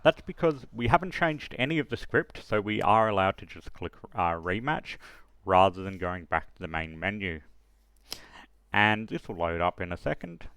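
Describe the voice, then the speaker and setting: Low-voiced man, casual tutorial narration. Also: animated, moderate pace, fairly bright tone, smooth, dry recording. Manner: hesitant, thinking aloud step by step. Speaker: tutorial presenter. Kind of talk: casual screen-recorded software tutorial. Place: close dry voice-over of a screen recording.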